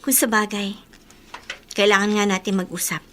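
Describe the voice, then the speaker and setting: A woman's voice: mid-range voice, flat delivery, moderate pace, pushed and bright, slight background noise, natural voice. A woman playing a proud, resentful young student in a Tagalog radio drama, with an emotional, accusing delivery. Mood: cold, resolute.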